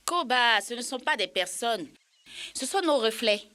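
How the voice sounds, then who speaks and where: A woman's voice: a little high, expressive, unhurried, bright, forceful tone. A woman voicing a bossy, clever child in an educational cartoon, explaining things insistently. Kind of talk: dubbed educational cartoon dialogue.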